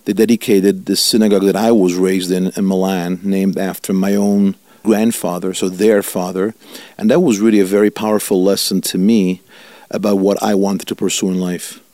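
Italian-born male rabbi, fifties, earnest and unhurried, low and warm, dry close sound. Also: level tone, no echo. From Italy. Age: 50s. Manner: earnest, measured, stretches key words slowly. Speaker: rabbi, guest. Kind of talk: reflective interview answers.

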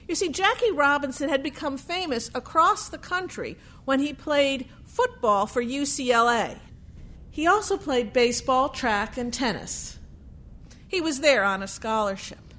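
High, animated woman storyteller, unhurried. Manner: deliberate, dramatic pauses and emphasis. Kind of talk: narrative oral storytelling of sports history.

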